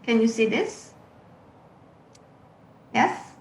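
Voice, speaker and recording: A woman's voice: a little high, animated, drawn-out words, creaky. Woman curator, forties, hesitant with many ums, echoey room on a video call. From Yugoslavia. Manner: hesitant, with frequent ums and uhs. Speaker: curator giving a presentation. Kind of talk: webinar presentation, partly read. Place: room at home.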